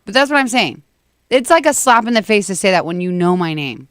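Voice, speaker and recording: Woman, husky voice, animated, laughing, morning radio co-host, dry studio sound. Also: medium pitch, brisk, dry recording. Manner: animated, laughs often, indignant asides. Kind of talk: casual morning radio banter.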